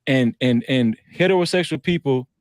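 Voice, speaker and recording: A man's voice: mid-range voice, moderate pace, dry recording. A man on an online panel call, arguing heatedly and defensively, repeating himself and talking over the others. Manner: insistent, keeps repeating "listen, bro" and talking over others.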